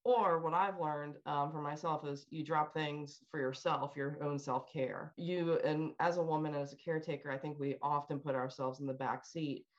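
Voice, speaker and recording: A woman's voice: deep voice, fairly even intonation, normal speed, few pauses, muffled recording. A middle-aged woman chatting casually and candidly as a panel guest on a call. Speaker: panel guest working in healthcare.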